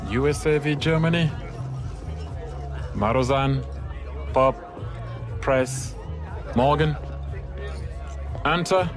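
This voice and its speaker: A man's voice: medium pitch, takes it slowly, long pauses, music in the background, crowd noise, lightly acted. An actor playing a sociable, laid-back man in a video game cutscene, talking in casual, clipped phrases. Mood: keen, impressed.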